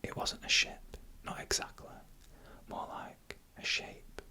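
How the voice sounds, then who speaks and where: Whispered ASMR horror narrator, bright and breathy. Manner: hushed, deliberate, short phrases with pauses. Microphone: close microphone made for headphone listening.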